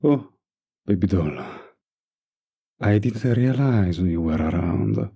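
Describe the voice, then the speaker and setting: Casting: male actor for a young mob boss boyfriend in romantic audio roleplay; raspy, unhurried drawl, menace mixed with tenderness. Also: sits low, animated, mellow, exaggerated voice. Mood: doting, teasing. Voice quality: gravelly, husky. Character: dominant young mafia boss boyfriend, menacing yet doting.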